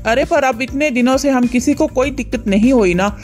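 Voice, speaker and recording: A woman's voice: high-pitched, fairly quick, a little mellow, soft background music, close to an everyday voice. A woman voicing an indulgent older mother-in-law in a cartoon, who coaxes and goes along with others. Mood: coaxing. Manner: coaxing, agreeable. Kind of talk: cartoon voice acting.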